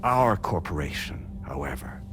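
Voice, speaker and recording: An actor playing a stately, courteous corporate president in a game, with a formal, deliberate, authoritative delivery. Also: male voice, rumbling low, sing-song, noisy background, full character voice. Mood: courteous, assured. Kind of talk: scripted game cutscene speech.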